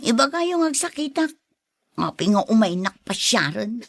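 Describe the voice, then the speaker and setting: Woman playing an exasperated young Ilocano woman, high-pitched. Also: sing-song, moderate pace, vocal fry, dry recording, heavily acted. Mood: reluctant, fretful. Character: blunt, exasperated young single woman, devoted daughter. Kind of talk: radio drama acting.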